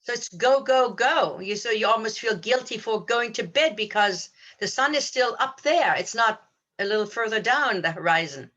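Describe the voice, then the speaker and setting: This is a woman with a fairly high, very bright and forward voice. She speaks as a podcast co-host in reflective spiritual talk. Her manner is earnest and measured, as someone philosophizing aloud. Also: animated, highs cut off.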